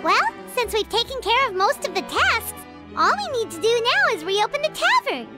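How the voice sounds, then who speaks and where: A squeaky little fairy companion. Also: female voice, very animated, normal speed, over loud music. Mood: upbeat. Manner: squeaky, chirpy, childlike. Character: tiny, childlike, cheerful fairy companion, eager to help. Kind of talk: cartoonish video game voice acting.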